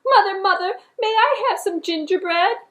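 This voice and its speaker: A woman voicing an eager, excitable little child in a puppet tale, pleading and exclaiming in a squeaky sing-song. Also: moderate pace.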